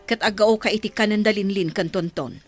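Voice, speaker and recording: A woman's voice: medium pitch, fairly quick, dry recording, faint music behind, natural voice. A woman playing a stern, commanding mother in a radio drama, scolding and resentful. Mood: bossy, stern. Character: harsh, bossy mother resentful of her adopted son.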